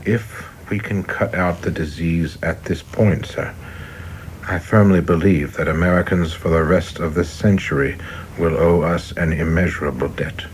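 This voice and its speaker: An actor in a radio play playing a young, zealous FBI chief, formal, clipped and officious. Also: male voice, low-pitched, steady tone, measured pace, slight background noise. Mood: resolute. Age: young adult.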